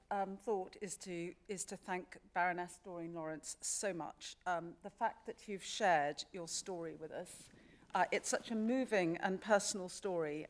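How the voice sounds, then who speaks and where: A woman giving a formal, polite closing speech of thanks in a halting way. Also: lowish voice. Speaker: chief executive of a society. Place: radio theatre stage.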